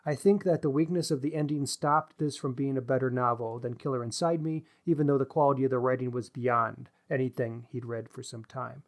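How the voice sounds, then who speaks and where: A man co-hosting a book podcast, discussing writing analytically in measured, considered phrases. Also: mid-range voice, fairly even intonation. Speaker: podcast co-host.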